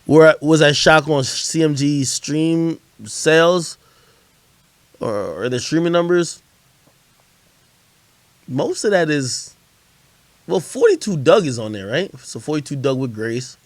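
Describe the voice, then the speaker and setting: A man's voice: fairly high pitch, animated, slow, long pauses, no room sound. A man streaming hip-hop commentary, talking in loose, profane slang and often repeating phrases as he answers viewers. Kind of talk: casual livestream chat answering viewer questions.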